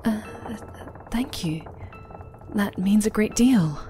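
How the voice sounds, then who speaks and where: A woman's voice: medium pitch, expressive, takes it slowly, mellow. A woman acting in an audio drama as a devout, deferential subject who speaks carefully. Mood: cautious, respectful. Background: faint music.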